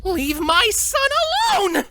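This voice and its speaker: A man voicing a furious, fiercely protective mother pony princess, shouting defiant threats in a fan story reading. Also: extremely high pitch, sing-song, takes it slowly, background noise, pitched above the performer's own voice, exaggerated voice.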